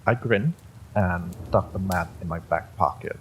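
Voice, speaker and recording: A man's voice: low-pitched, measured pace, a little hiss or hum. A man reading a scripted first-person horror story as its narrator.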